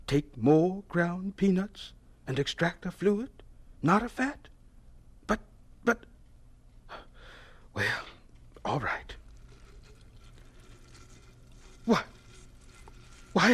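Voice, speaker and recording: Elderly devout professor, husky, slow and stammering, wildly swinging pitch, gentle, radio drama. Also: male voice, exaggerated voice. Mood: absorbed, wondering. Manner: halting, stammering, slow and reverent. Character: gentle, devout older male professor, excited inventor.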